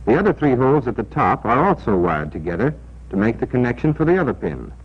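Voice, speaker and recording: An American man narrating a 1940s military training film in a scripted, deliberate, instructional and emphatic manner, on an old film soundtrack. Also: mid-range voice, big pitch swings, breathy edge. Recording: dull, band-limited 1940s film soundtrack.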